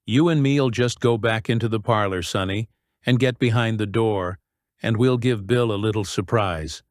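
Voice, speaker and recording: A man voicing a fawning, sneering middle-aged pirate with a slow drawl, on a close, dry mic. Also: fairly low pitch, no room sound, natural voice. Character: wheedling menacing middle-aged male pirate.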